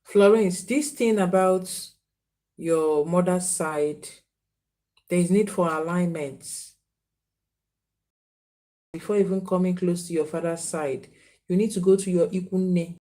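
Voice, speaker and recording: A woman's voice: lowish voice, steady tone, measured pace, long pauses, dark tone. Woman giving live spiritual readings. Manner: earnest, scolding viewers, repeats names and phrases. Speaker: spiritual reader. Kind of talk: live-stream reading to viewers.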